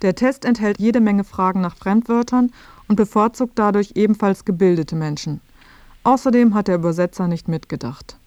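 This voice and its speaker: A woman narrates a radio feature from a script. Her delivery is matter-of-fact, at a middle pitch, and the sound is dry with no room around it. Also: moderate pace, darkish tone, no room sound.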